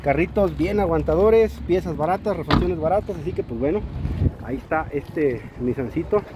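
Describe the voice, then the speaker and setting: Upbeat high-voiced Mexican car vlogger. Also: male voice, moderate pace. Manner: lively, salesy, slangy diminutives. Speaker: used-car market vlogger. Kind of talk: walk-around car showcase. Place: outdoor car market. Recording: outdoor street noise.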